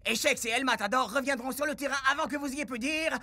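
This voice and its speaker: A man voicing a cocky, hot-headed football star in a French cartoon dub, with a boastful, melodramatic manner and a creaky voice. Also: extremely high pitch, fast, pushed and bright, no room sound, heavily acted. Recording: music under the voice.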